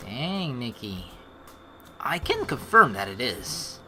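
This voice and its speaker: A man's voice: mid-range voice, very animated, takes it slowly, bright, forceful tone, a little hiss or hum. A man hosting a let's play, reading game text aloud and reacting casually and animatedly, with free swearing.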